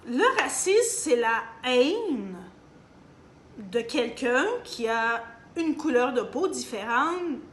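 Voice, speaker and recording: A woman's voice: on the high side, fairly bright tone. A woman with a Canadian French accent giving an emphatic opinion monologue straight to her viewers, hesitating often with fillers. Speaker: video commentator. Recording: echoey room, faint background hum.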